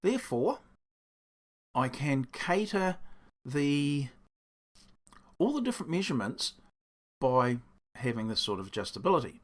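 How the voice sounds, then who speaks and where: A man with a croaky voice explaining his workshop build in a casual, rambling way, correcting himself as he goes. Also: mid-range voice, sing-song, unhurried, long pauses. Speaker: woodworking channel host. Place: home workshop.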